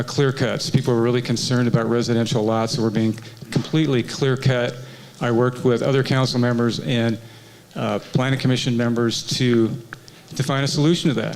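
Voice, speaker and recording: A man's voice: mid-range voice, rough recording. A man who works as a carpenter, speaking plainly as a city council candidate at a forum. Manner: plainspoken, steady, some fillers. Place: senior community center.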